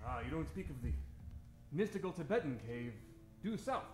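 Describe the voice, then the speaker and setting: A hearty, high-pitched gentleman explorer. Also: very animated, moderate pace. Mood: suave, musing. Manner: hearty, pompous, grandiose. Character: famed gentleman explorer, hearty, pompous and debonair. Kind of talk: old-time radio adventure acting. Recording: roomy stage sound, faint music behind.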